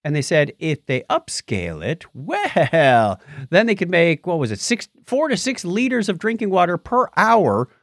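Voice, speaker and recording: A man's voice: high-pitched, wildly swooping pitch, moderate pace, no echo, studio quality. A man hosting a podcast in a casual, chatty style, bantering and joking as he goes. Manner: jokey, chatty. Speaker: podcast host. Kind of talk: casual news and birthdays banter.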